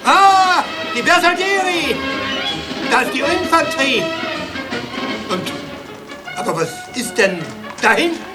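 A woman playing an excitable, easily outraged academy member in a satirical radio drama. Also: sits high, slow pace, long pauses, over loud music, rough recording. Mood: excited.

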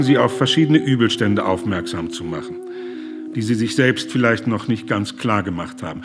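An actor playing an earnest, indignant German humanitarian, speaking formally, deliberately and with moral urgency in a radio play. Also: male voice, mid-range voice, natural voice. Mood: urgent pleading.

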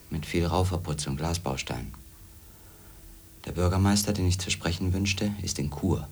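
A brooding investigator telling his own story in a radio drama. The voice is very deep and rough-edged husky. He keeps it sober and measured. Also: darkish tone. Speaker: narrator and protagonist of a radio drama. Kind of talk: first-person scripted radio drama narration.

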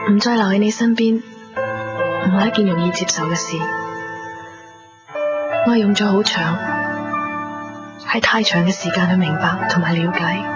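A woman's voice: mid-range voice, close to an everyday voice. An actress playing a rejected, wistful young woman confessing on tape, in a Hong Kong Cantonese radio drama monologue. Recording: muffled 1990s radio, loud music behind.

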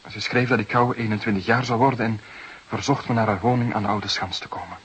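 An actor playing a desperate, defensive young murder suspect of about twenty, nervy and halting under interrogation in a crime drama. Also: male voice, lowish voice, steady tone, fairly bright tone, close to an everyday voice. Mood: subdued, guarded. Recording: clearly hissy background noise.

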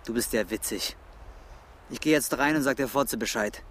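A man's voice: medium pitch, moderate pace, fairly bright tone, natural voice. An actor playing a foul-mouthed, angry man making threats in a crime radio drama. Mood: menacing. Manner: gruff, threatening. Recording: faint outdoor vehicle noise.

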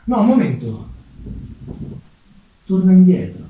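Casting: male stage narrator, fairly high mellow voice, dramatic storytelling with deliberate pauses, in a very reverberant space. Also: very animated, highs cut off. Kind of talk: theatrical narrative monologue. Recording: very echoey room, distant mic, faint noise.

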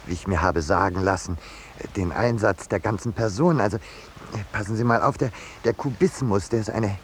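An actor playing a polite, hesitant and peaceable painter in a 1950s German radio drama. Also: male voice, lowish voice, moderate pace, a little hiss or hum, natural voice. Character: mild, peaceable, hapless male landscape painter.

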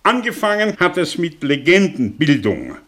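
An actor playing an older, sardonic, brilliant fallen intellectual in a German radio drama, speaking theatrically with rhetorical pauses and swells. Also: male voice, fairly high pitch, very animated, a bit bright. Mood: confessional, intense. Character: aging, theatrical, sardonic man, brilliant fallen intellectual confessing his past.

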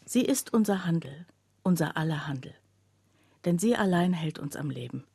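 An actress playing an earnest working woman who narrates a literary radio play in a husky voice. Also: on the low side, expressive, moderate pace, mellow, dry recording, full character voice. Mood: somber, reflective. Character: earnest working woman recounting her factory's closure.

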